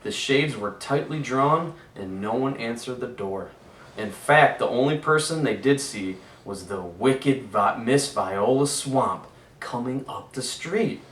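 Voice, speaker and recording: A man who teaches PE reads a children's picture book aloud slowly, and now and then stops to ask the kids gentle questions. His pitch sits in the middle range. The room echoes, and he is some way from the mic. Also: recorded from a distance.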